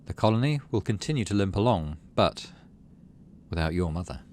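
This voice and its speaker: Low-voiced man reading a game story aloud. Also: expressive, brisk, background noise. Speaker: gaming channel host. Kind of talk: dramatic read-aloud narration of a visual novel; casual let's play commentary between readings. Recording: game music behind the voice.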